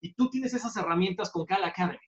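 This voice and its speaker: A man's voice: high-pitched, fast talker, a bit bright. A man from Mexico, hosting an interview and affirming his guest in a conversational way. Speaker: interviewer, podcast host. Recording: echoey, dull room sound.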